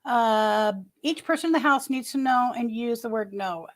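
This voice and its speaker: Chatty high-voiced woman in her sixties. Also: normal speed. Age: 60s. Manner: rambling, many restarts and asides. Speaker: livestream host. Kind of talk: casual live chat reading viewer comments.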